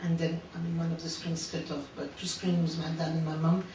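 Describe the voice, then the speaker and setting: A woman's voice: sits low, completely flat delivery, a little fast, mellow, a little hiss or hum. Hesitant woman in echoey hall. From France. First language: French. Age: 40s. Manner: hesitant, many fillers and restarts. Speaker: artist giving a talk. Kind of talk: unscripted artist talk. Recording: echoey room, distant mic, dull sound.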